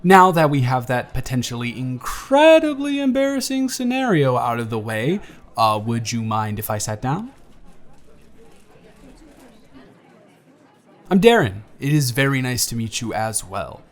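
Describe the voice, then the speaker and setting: A man's voice: mid-range voice, very animated, normal speed, lightly acted. A man playing a charming, teasing young man on a blind date, chatty and playful in a romantic audio roleplay. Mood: polite, nervous.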